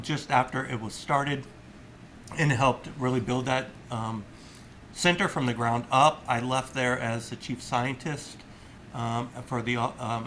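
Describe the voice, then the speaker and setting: A middle-aged man presents to a webinar audience at a mid-range pitch. He speaks in halting phrases, often filling gaps with ums and stopping to clear his throat. Faint room ambience sits under his voice. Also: a bit bright, slight background noise. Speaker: company vice president presenting a webinar.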